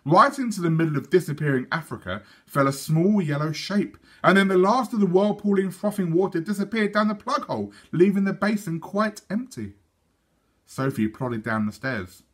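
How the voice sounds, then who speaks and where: Animated man reading a storybook. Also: high-pitched. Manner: measured, animated. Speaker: teacher reading a children's book aloud. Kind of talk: expressive storybook narration.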